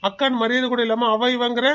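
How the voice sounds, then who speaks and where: A man's voice: extremely high pitch, flat delivery, quick pace, smooth. A man voicing a stern father who scolds his family in a cartoon. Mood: angry. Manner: shouting and scolding.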